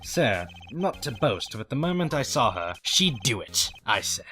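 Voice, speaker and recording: A man voicing an eager, morbid, self-important hotel bellboy in a game fan dub, fawning and theatrical with drawn-out phrases. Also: mid-range voice, big pitch swings, bright, forceful tone. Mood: smug, sinister. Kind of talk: fan-dub visual novel character acting.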